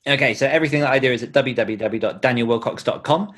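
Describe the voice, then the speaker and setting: A man's voice: mid-range voice, quick pace, a bit bright. A man with a British accent in casual podcast chat, informal and jokey in the way he talks. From Britain. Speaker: podcast host and author, being interviewed on own show.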